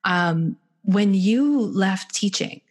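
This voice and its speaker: A woman's voice: medium pitch, expressive, very slow, darkish tone, slightly rough. A Canadian woman co-hosting a podcast, reflective and searching for words with many fillers. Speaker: podcast co-host. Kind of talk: casual podcast conversation.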